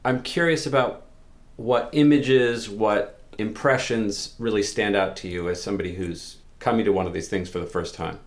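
A man's voice: lowish voice, steady tone, moderate pace. A man hosting a casual discussion, putting questions to a colleague in a conversational way. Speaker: host, interviewer.

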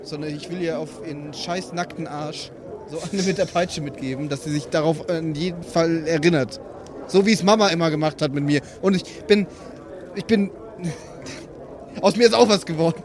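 A man's voice: fairly high pitch, moderate pace, darkish tone. A man speaking casually as a player improvising in a tabletop role-playing game.

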